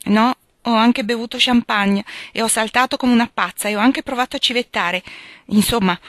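A woman's voice: a little high, moderate pace, a bit bright, dry recording, clean studio sound, pitched above the performer's own voice, close to an everyday voice. A woman reading a storybook aloud, voicing an embarrassed young woman confessing to her mother.

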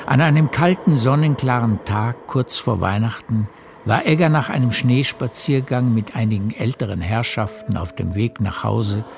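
A man narrating a literary radio play in a measured storytelling manner. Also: mid-range voice, vocal fry, a little hiss or hum. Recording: music behind the narration.

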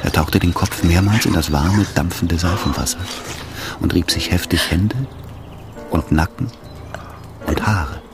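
A man narrating a literary radio drama in a measured, solemn manner. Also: deep voice, creaky. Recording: orchestral music behind the voice.

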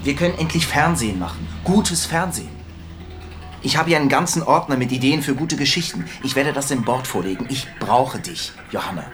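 A man's voice: medium pitch, very animated, fairly quick, lightly acted. Ambitious male executive played by an actor, medium register, earnest and pleading, radio drama with music underneath. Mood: earnest, insistent.

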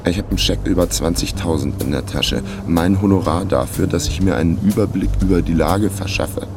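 A man's voice: low voice, brisk, background noise. An actor playing a wry, laconic, down-on-his-luck man of thirty in a radio crime drama. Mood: wry, brooding. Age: 30s. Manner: laconic, sardonic. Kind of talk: radio crime drama first-person narration.

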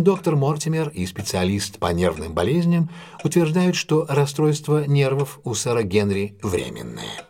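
An actor playing a shrewd detective in an audio drama, laying out a case in a measured, articulate way with deliberate pauses. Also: male voice, mid-range voice, a little mellow, lightly acted. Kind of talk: audio drama acting, expository monologue.

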